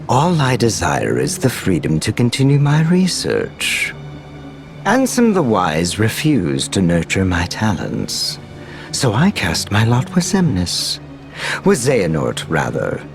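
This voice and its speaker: An actor playing a sly, detached scientist villain in a video game, languid and sardonic. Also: male voice, low-pitched, wildly swooping pitch, slow, gravelly, music in the background, exaggerated voice. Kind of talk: video game cutscene acting.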